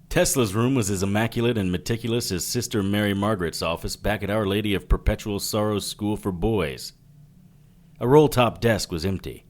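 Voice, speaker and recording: A man's voice: fairly low pitch, darkish tone, close to an everyday voice. A man playing a wry, wary 1940s private detective narrating a hard-boiled radio drama.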